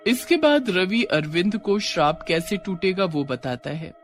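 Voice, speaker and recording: A man's voice: sits high, big pitch swings, measured pace, soft background music. A man reading scripted story narration as the narrator of a tale.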